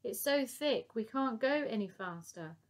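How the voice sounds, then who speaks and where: A woman voicing an old male admiral who gives orders in a brisk, commanding manner. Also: on the high side, expressive, voice pushed up. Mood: urgent.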